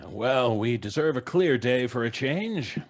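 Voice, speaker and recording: A man playing a brisk, sceptical, good-natured father in an old-time radio drama, with a blustery manner. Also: mid-range voice, lively intonation. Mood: genial. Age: middle-aged. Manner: blustery, dismissive, chuckling. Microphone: studio mic at home, video call.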